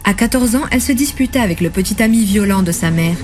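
A woman's voice: mid-range voice, lively intonation, fast talker, a little mellow. A woman reading scripted documentary narration about a person's life. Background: background music.

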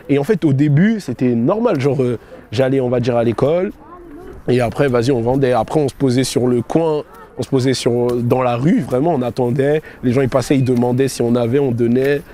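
A young man tells his own story casually, in a slangy, conversational manner full of check-in tags. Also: a little high. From France (Paris area) and England (London). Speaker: storyteller recounting own life. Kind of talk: casual autobiographical storytelling to camera.

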